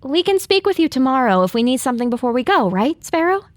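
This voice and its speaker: A woman acts out a plucky young filly in an audio drama. Her high voice moves around a lot and sounds dry and close. She is assertive. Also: very animated, fast talker, dry recording. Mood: brisk.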